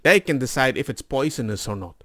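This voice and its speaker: A man's voice: medium pitch, animated, fairly quick, bright, forceful tone, dry recording. A man giving casual, off-the-cuff reaction commentary as a host, exasperated and mockingly mimicking the people he disagrees with.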